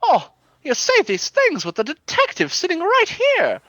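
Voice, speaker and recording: A woman's voice: extremely high pitch, huge pitch swings, moderate pace, fairly bright tone, no echo, clean studio sound, exaggerated voice. A woman playing a chummy, nervous, wheedling male detective in a comic radio play, flustered and overly agreeable. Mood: jovial.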